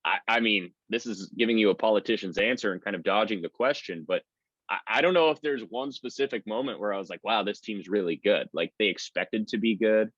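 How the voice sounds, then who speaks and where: A low-voiced man talks sports in a conversational, discursive way, like an announcer being interviewed. The sound is a bit dull and lo-fi. He goes on at length, rambling from point to point. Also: a little fast, fairly bright tone, no echo. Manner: talkative, discursive.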